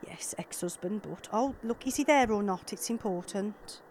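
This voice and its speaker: A woman acting an irritable, sharp-tongued, sarcastic middle-aged mother and ex-wife in an audio drama. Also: medium pitch, normal speed, a little hiss or hum, natural voice. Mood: curt.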